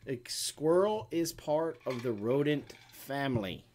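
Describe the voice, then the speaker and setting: A man's voice: medium pitch, expressive, measured pace, a little mellow. A middle-aged man co-hosting a homestead live stream, chatting and answering questions in a rambling, explanatory way with lots of fillers. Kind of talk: casual chat and Q&A.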